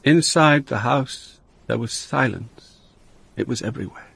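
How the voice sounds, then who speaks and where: A brooding, observant police inspector in a radio drama. His voice is slightly husky and he speaks in deliberate, clipped phrases. He is brusque, with a wry streak. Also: mid-range voice, a bit bright, full character voice. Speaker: lead actor and narrator. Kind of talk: radio drama acting and first-person narration.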